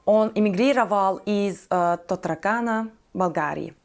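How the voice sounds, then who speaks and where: A woman in her twenties from Turkey, a native Turkish speaker with a Turkish accent, talking to viewers about herself as a vlogger in careful, slightly halting phrases. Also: medium pitch, creaky. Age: 20s.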